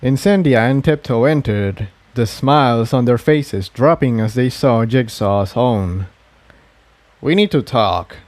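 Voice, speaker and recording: A man's voice: medium pitch, darkish tone, no room sound. A man narrating an adventure story from a script, as a fan fiction reader.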